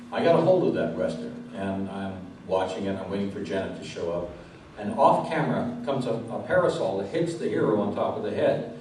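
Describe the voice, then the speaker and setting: A man's voice: fairly low pitch, warm tone. A man interviewing a guest live onstage and sharing anecdotes. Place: live event room with audience. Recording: echoey hall, distant mic, faint noise.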